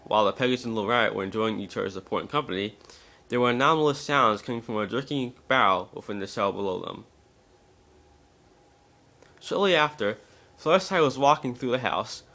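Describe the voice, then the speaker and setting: A man's voice: medium pitch, animated, a little fast, pushed and bright. A man narrating a scripted story as an amateur dramatic reading, now and then stumbling over words.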